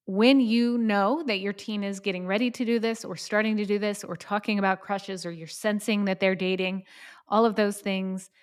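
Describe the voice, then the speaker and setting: A woman's voice: mid-range voice, clean tone. A woman of about fifty hosting a solo podcast, giving conversational advice in an informal way and putting questions straight to the listener. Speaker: podcast host and parenting coach.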